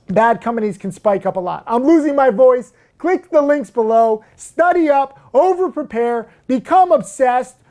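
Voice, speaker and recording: A man who teaches stock trading, talking casually to camera in a brash, emphatic way that turns loud and worked up, with crude jokes and lots of "um" and "like". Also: extremely high pitch.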